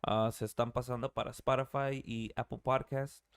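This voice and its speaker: A relaxed man in his thirties hosts a casual podcast, sliding between English and Spanish. His voice is smooth and resonant, recorded close and dry with no room sound. He swears easily and reads out shout-outs in an easygoing way. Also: medium pitch, fairly quick, dry recording. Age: 30s. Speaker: podcast host.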